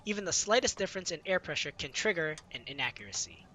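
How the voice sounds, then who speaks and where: A man's voice: a little high, very animated, fast, pushed and bright, slight background noise. A man reading a visual novel aloud, narrating in the first person with light laughs. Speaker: let's-play reader.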